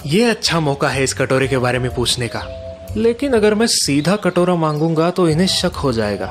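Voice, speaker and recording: Man voicing a cocky young dealer, lively and high. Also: normal speed, faint music behind. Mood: scheming. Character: young son Ajay, cocky crafty antique dealer. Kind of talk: cartoon story character voice.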